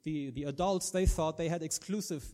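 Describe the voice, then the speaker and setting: A man's voice: a little high, normal speed, warm tone. A man from Germany with a German accent, preaching an earnest sermon and often restarting his words.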